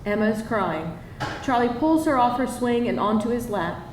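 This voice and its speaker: A woman's voice: on the high side, lively intonation, normal speed. A woman narrator reading screenplay stage directions aloud at a live table read. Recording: echoey live hall, distant, faint noise.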